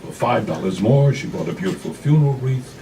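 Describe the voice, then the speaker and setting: A man giving an expressive, dramatic reading of literary fiction to a live audience in a cafe, with weighted pauses. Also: mid-range voice. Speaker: actor reading a novella aloud. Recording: noisy live room, distant mic.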